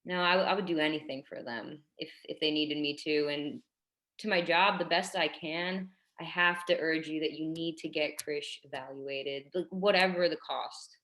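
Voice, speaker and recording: Woman acting an earnest, low-pitched supportive schoolteacher. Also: steady tone, normal speed, fairly bright tone. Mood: earnest, urging. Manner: conversational, hesitant, earnest. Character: woman schoolteacher Miss Patel, supportive, slightly awkward. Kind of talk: staged reading over video call.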